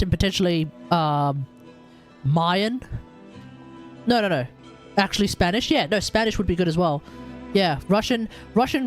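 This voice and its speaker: A woman streaming a game with casual, rambling chat, often hesitating and repeating words as she goes. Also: on the low side. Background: game music.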